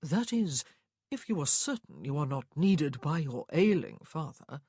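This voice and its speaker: A man's voice: on the high side, sing-song, a little slow, darkish tone, slightly rough, no room sound, clean studio sound, full character voice. A man voicing a jovial, smug and suave English lord in an audiobook, with a cheerful, self-assured drawl. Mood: jovial, persuasive. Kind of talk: audiobook character dialogue.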